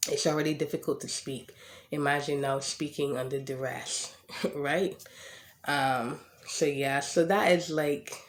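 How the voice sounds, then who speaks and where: A podcast host who stutters and has a slight lisp, talking casually about personal experience with frequent fillers and repeated words. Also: mid-range voice. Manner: speaks with a stutter, occasional word repetitions; frequent "um" and "right?". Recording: echoey room.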